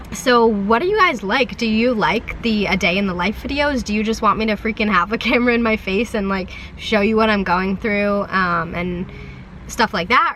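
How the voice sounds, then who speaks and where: A young woman with a hoarse, flu-roughened voice talks straight to her audience in a loose, rambling sit-down vlog. Her pitch sits in a middle range, and she speaks heartfelt, with frequent ums and restarts. A faint hum of noise runs behind her. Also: a bit bright. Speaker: vlogger. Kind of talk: rambling sit-down talk to camera. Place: parked van.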